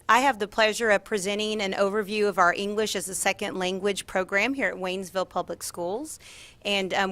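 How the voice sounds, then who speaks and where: A woman's voice: mid-range voice, fairly bright tone. A woman giving a formal presentation as a school district administrator at a meeting. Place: school board meeting room.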